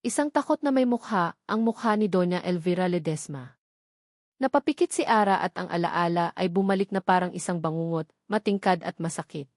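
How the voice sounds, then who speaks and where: A woman narrating a scripted romance story in a measured, dramatic storytelling manner. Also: fairly low pitch, no echo, studio quality.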